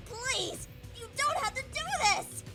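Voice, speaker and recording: A woman's voice: very high-pitched, slow, music in the background, heavily acted. A woman voicing a bossy, haughty teenage anime girl mage in a fan parody dub. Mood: pleading. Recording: roomy, noisy parody dub audio.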